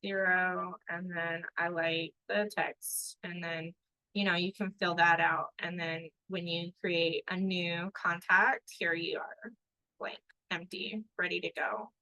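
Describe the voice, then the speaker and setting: A woman runs a live online tutorial, her voice bright and a little tense. The sound is dry and close, with no room around it. She keeps an upbeat, encouraging tone. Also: on the low side, level tone, slow, no room sound, poor audio. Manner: upbeat, encouraging, asks for understanding. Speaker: online trainer.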